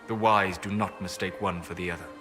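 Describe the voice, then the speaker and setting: A grave elven scholar. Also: male voice, low voice, fairly even intonation, a bit bright, soft background music. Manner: grave, measured, dry. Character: solemn elven scholar man, measured.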